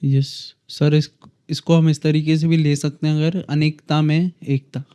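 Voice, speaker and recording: A man's voice: a little high, flat delivery, a little slow, dark tone, clear, resonant tone. A man from India hosting a podcast, speaking deferentially and mostly giving short agreeing replies to his guest. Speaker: podcast host. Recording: dull lo-fi sound.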